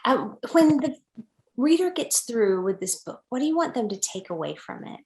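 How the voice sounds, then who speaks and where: A woman's voice: on the high side, sing-song, normal speed, darkish tone. Woman podcast host in an echoey room. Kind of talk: podcast interviewing.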